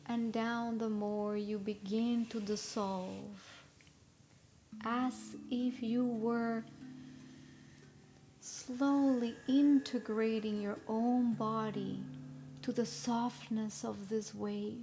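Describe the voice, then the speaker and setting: A woman leading a live guided meditation in a soothing, instructional way, with long pauses between short phrases. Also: on the high side, flat delivery, mellow, faint music behind. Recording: live recording with clear background noise.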